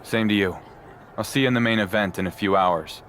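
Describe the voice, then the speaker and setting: An actor playing a cocky, wry underdog pro wrestler in a sports game, with dry, confident banter. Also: male voice, fairly low pitch, fairly quick, fairly bright tone, slight background noise, close to an everyday voice. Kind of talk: cinematic sports game dialogue.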